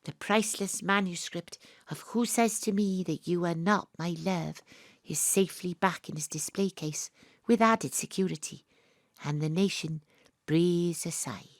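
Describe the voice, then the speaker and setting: A woman's voice: fairly low pitch, unhurried, no echo, clean studio sound. A woman playing a plucky, self-assured investigator, narrating her adventure as dramatic first-person storytelling in an audio drama. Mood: hushed, lyrical. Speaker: lead narrator.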